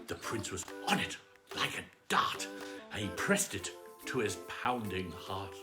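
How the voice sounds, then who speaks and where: A man reading a children's bedtime story aloud in a theatrical, playful manner with drawn-out, dramatic phrasing. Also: low-pitched, over music.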